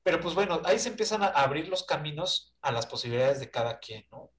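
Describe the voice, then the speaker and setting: A man's voice: fairly high pitch, lively intonation, fast talker, a bit bright. A man with a Mexican accent in a casual film discussion, hesitant, searching for words and often seeking agreement.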